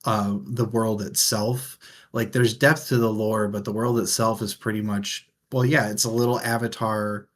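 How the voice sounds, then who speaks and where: A man's voice: fairly low pitch, little pitch movement, normal speed. A man co-hosting a book discussion, talking in a casual, conversational way. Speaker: book discussion show host.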